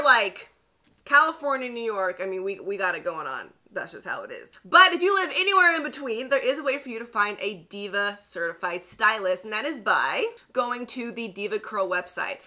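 Bright, chatty woman vlogger. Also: fairly high pitch. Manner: chatty, emphatic, lively asides. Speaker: beauty vlogger. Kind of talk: casual advice vlog to camera. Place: indoor studio with plain backdrop.

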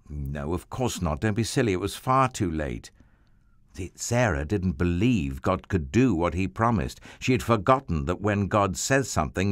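Man, deep voice, lively melody, unhurried, children's storybook narration, dry close sound. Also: no room sound. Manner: slow, expressive, sweeping storytelling.

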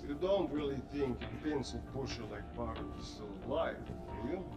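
An actor playing a cold, sneering, self-serving mercenary villain in a video game. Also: male voice, medium pitch, lively intonation, normal speed, close to an everyday voice. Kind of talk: video game cutscene acting. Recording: echoey, distant, music behind.

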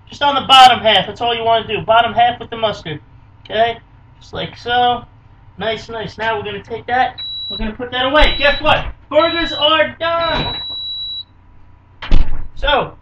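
A man, hosting a casual home cooking video in a small kitchen with energetic, hammy showman patter. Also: extremely high pitch, distant mic, background noise. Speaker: cooking show host. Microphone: camera mic close by. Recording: dull lo-fi webcam audio from a bit off-mic.

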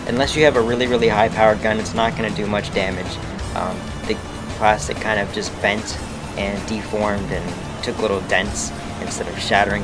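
A young man casually presenting a video to camera, recorded outdoors a few feet from the camera. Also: on the low side. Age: young adult. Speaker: video host. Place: outdoors in front of a screened porch.

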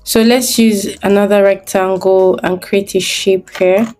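A woman's voice: mid-range voice, fairly even intonation, unhurried, darkish tone, soft background music. A woman giving a screen-recorded design tutorial, thinking aloud step by step as she works.

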